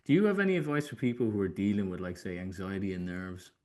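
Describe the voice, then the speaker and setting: Informal man with a lowish voice. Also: quick pace, darkish tone. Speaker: podcast host. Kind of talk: casual interview chat.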